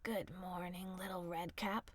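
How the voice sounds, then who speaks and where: A woman voicing a sly, wicked male wolf in a fairy tale, hushed and coaxing as he schemes. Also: fairly low pitch, fairly even intonation, measured pace, pitched below the performer's own voice. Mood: smooth, probing.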